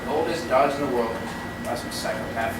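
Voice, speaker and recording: A man's voice: mid-range voice, close to an everyday voice. A man playing an earnest, self-assured psychiatrist in a comic stage play, with a clinical and commanding manner. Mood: grimly certain. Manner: clinical and measured, turning to urgent barked orders. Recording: distant, echoey, very noisy hall recording.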